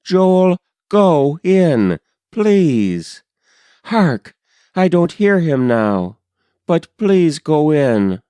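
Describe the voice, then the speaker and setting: A man's voice: fairly high pitch, very animated, extremely slow, warm tone, clear, resonant tone, dry recording, voice pushed up, full character voice. A man voicing a frightened, headstrong rural wife in a dramatic poetry reading, urgent, pleading and insistent. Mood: urgent, fearful.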